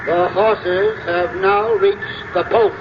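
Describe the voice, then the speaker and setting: A man acting a formal racetrack announcer with drawn-out calls in an old-time radio drama. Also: sits high, fairly even intonation, noisy background. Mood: formal, loud. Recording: muffled vintage radio recording.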